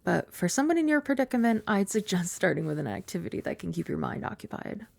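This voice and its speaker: A young woman voicing a composed young maid who is also a childhood friend. She uses formal, polite speech with gentle, dry teasing, is soft-spoken, and sometimes drops to a whisper. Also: mid-range voice, big pitch swings, fast, creaky, dry recording, heavily acted. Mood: calm. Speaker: ASMR roleplay performer. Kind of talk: ASMR roleplay.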